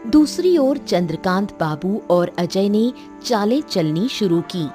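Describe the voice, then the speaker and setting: A woman narrates a story from a script. Her pitch is average for a woman, and music plays clearly behind her. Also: sing-song, slow, warm tone.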